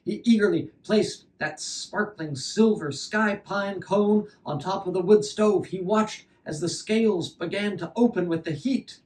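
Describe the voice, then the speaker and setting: Slow, higher-pitched male storyteller. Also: a little mellow, far from the mic, rough recording. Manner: deliberate, repeats phrases for effect. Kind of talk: dramatic oral fairy-tale storytelling.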